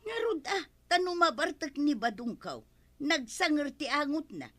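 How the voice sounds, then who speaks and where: An actress with an Ilocano Filipino accent playing a nagging, suspicious village wife in a radio comedy drama. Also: female voice, high voice, big pitch swings, moderate pace, sharp tone, full character voice. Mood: puzzled, suspicious.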